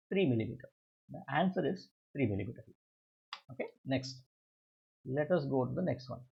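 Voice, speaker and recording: Creaky, raspy Indian man teaching. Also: a little high. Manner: didactic, asks and answers own questions; repeats phrases for emphasis. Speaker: physics teacher. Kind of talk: worked-solution exam lesson. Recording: dull lo-fi recording.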